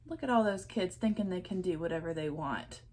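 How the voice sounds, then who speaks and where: A woman's voice: lowish voice, animated, fairly quick, darkish tone, husky. A woman storyteller reading a children's picture book aloud expressively, adding chatty asides to the listeners.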